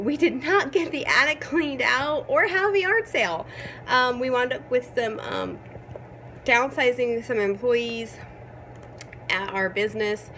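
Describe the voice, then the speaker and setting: Hoarse, chatty woman vlogger. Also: on the high side, sharp tone, a little hiss or hum, rough recording. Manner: chatty, upbeat, frequent ums. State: hoarse from a cold. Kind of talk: casual chat. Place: at home, changed rooms.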